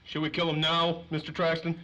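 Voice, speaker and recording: A man acts a tough henchman in a 1930s gangster radio play. His voice is highish and hard-edged, full of slangy menace. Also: completely flat delivery, fairly quick, bright, forceful tone, lo-fi sound. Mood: menacing. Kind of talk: 1930s old-time radio drama acting.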